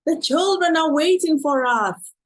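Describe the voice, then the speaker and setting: A woman voicing a kindly male traveling librarian in a children's storybook, gentle and pleading in manner. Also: high-pitched, expressive, measured pace, slight background noise, voice pushed up.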